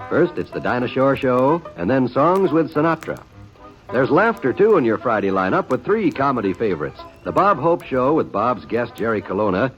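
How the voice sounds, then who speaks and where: A man with an American accent reading scripted vintage radio announcements in a formal, declamatory manner. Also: medium pitch. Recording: muffled vintage radio broadcast. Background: music.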